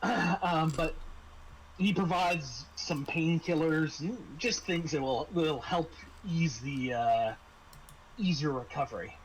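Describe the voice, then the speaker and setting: Higher-voiced man running a tabletop game. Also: a little hiss or hum, poor audio. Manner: halting, self-correcting delivery. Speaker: game master. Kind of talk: improvised tabletop game narration. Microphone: online video call.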